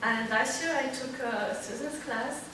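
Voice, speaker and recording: A woman's voice: a little high, measured pace. A woman with a Moroccan Arabic accent giving an informal personal talk as a student panelist, searching for words as she goes. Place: library event room, indoors. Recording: very echoey, distant, clearly noisy room recording.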